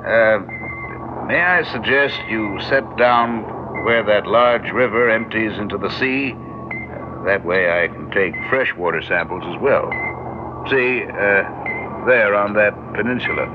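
A tinny, noisy old radio recording of a low-voiced scientist, played by a man. He is measured, skeptical and slightly formal, explaining things in a reasoned way as in a vintage science-fiction drama. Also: lightly acted. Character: measured, skeptical male expedition scientist, a doctor.

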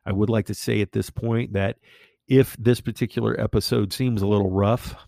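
Male podcast preacher, lowish gravelly voice, congested and a bit nasal, emphatic, dry close sound. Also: fairly even intonation, mellow, no echo. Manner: slow, emphatic, declarative preaching. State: congested and a little nasal from allergies. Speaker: podcast host.

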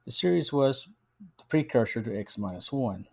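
Man, podcast host, medium pitch, scripted narration, review delivery. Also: expressive, normal speed, vocal fry, husky.

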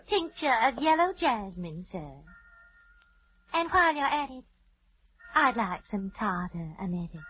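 High-pitched, gossipy society matron. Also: female voice, sing-song, unhurried, pauses often, fairly bright tone, slightly rough, exaggerated voice. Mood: polite. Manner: theatrical, gossipy. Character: chatty, fussy society matron feigning concern. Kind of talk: old-time radio drama acting. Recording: muffled, noisy old radio recording.

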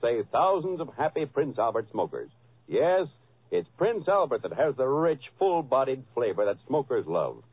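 Husky, animated male radio pitchman. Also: normal speed. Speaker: commercial announcer. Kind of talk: scripted 1940s radio ad pitch. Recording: narrow-band vintage radio recording.

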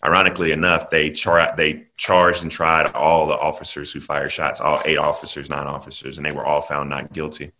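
A man from South Carolina, speaking as a podcast guest. He talks in an earnest, measured way with frequent ums. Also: a bass voice, completely flat delivery, pushed and bright, slight background noise. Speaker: guest political commentator. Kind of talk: podcast interview.

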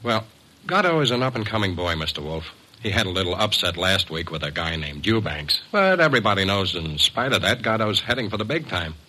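Streetwise low-voiced fight manager. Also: male voice, sing-song, fairly quick, sharp tone, full character voice, low-quality recording. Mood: worried, earnest. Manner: streetwise, slangy, earnest. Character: worried, plain-spoken male prizefight manager. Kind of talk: 1940s-50s radio drama acting.

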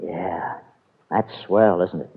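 Tinny old-radio blunt, low-voiced man. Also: fairly even intonation, slow, slightly rough, exaggerated voice. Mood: bitter disapproval. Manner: blunt, exasperated, emphatic. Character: protective, indignant man, blunt and skeptical. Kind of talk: vintage radio soap opera acting.

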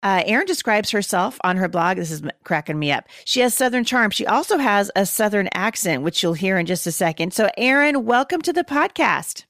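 A woman's voice: mid-range voice, animated, fairly quick, few pauses, a bit bright, dry recording, clean studio sound. A woman from the Portland area hosting a podcast, chatting casually with a guest and laughing easily. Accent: Portland, Oregon. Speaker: podcast host.

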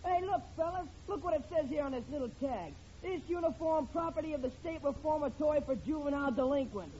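A man's voice: very high voice, quick pace, warm tone. A male actor playing a skeptical, taunting neighborhood boy in an old-time radio sitcom, needling and impatient. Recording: muffled, hissy vintage radio recording.

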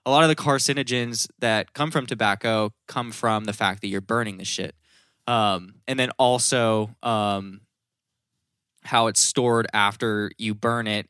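A man's voice: lowish voice, fairly bright tone, clean tone, dry recording, studio quality. A man in casual podcast chat, explaining things in a rambling, informal way with some swearing.

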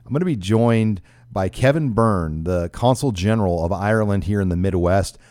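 A man's voice: fairly low pitch, normal speed, a little mellow, no room sound, clean studio sound. A man with an American accent hosting a conversational sports talk show. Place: studio.

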